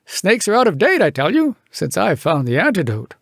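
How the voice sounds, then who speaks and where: Boastful bush settler, played by a male reader: high, animated, grandiose, comic verse storytelling. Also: moderate pace, gravelly, slightly rough, no room sound, studio quality, full character voice. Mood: eager, insistent. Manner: exultant, grandiose declaiming.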